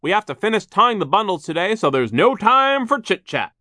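Menacing gruff foreman bully for a storybook, barky, loud, pitched up high. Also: very animated, fairly quick, no room sound, clean studio sound, heavily acted. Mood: barking, bossy. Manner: barking, curt shouting.

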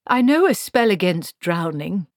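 A woman's voice: fairly low pitch, big pitch swings, a little slow, dry recording. A grumbling male wizard, played by a woman reading aloud in a storybook. He is reluctant and miserable, protesting with fussy, formal politeness. The recording is dry and close.

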